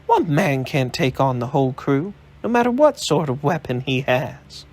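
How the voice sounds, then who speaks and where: A man's voice: on the high side, sing-song, moderate pace, darkish tone. A man reading aloud, voicing a feisty woman journalist who snaps back indignantly.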